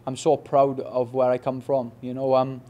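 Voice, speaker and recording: A young man with a Welsh accent in a post-fight interview, talking quickly with many restarts and "you know what I mean". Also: mid-range voice, completely flat delivery, warm tone. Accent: Welsh, Swansea. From Wales. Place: press backdrop indoors. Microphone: reporters' handheld mics in front.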